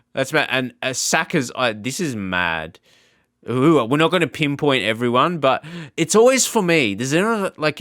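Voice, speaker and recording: Clear-voiced man rambling through casual commentary. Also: mid-range voice, a bit bright, no echo, clean studio sound. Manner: rambling, halting, informal. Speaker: gaming channel host. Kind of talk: casual off-the-cuff reaction commentary.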